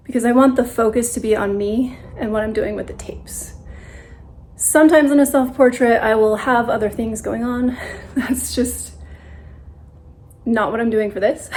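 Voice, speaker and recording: A woman's voice: on the high side, a little mellow. A woman chatting informally as she explains her photography setup step by step, in a casual tutorial vlog style. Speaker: photographer making self-portraits. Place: dining room at home.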